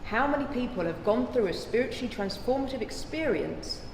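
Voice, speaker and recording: A woman's voice: on the high side, lively intonation, brisk, gravelly. An actress playing an earnest advocate, a woman speaking formally and rhetorically in a courtroom drama. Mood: grave. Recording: echoey hall, faint noise.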